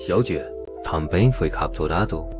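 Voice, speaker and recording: A man playing a shrewd sword-sect leader in a motion-comic dub, measured, pensive and authoritative. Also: extremely low pitch, animated, music in the background. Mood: grave. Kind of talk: dubbed motion-comic acting.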